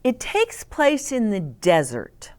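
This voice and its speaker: A woman hosting storytime for children, reading aloud and pausing to explain gently and ask the listeners questions. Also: medium pitch, huge pitch swings. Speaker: children's storyteller, host of a read-aloud channel. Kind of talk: read-aloud storytime with asides to young children.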